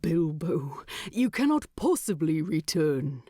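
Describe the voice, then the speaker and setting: Woman as a grave, slow-spoken male dwarf. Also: deep voice, sing-song, dark tone, dry recording, clean studio sound, voice pushed down, exaggerated voice. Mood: grave, incredulous. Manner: careful, weighty, measured. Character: grave, cautious adult male dwarf, incredulous and restrained.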